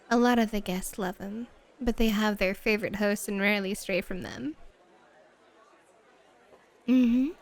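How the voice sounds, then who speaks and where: A woman's voice: mid-range voice, natural voice. A woman playing a flirty, dominant and playful hostess at a bunny club in an ASMR roleplay, teasing and drawing out her words coquettishly. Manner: teasing, coquettish, drawn-out purring.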